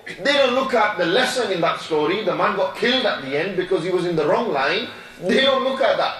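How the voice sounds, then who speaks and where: A man's voice: high voice, a bit bright, far from the mic. A man, an imam giving a sermon, preaching with repetition and rhetorical questions. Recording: echoey room from afar.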